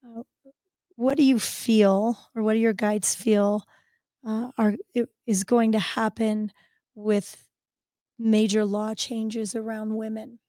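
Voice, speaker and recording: A woman co-hosting a podcast with a hoarse, recovering voice, asking halting questions with many ums. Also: a little high, flat delivery, mellow, dry recording. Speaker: co-host. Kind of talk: casual podcast chat.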